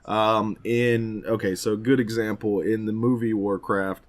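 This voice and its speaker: Low-pitched man chatting, rambling and sweary. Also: little pitch movement, a little slow. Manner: rambling, slangy and profane. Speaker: podcast co-host. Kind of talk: casual chat about films and games.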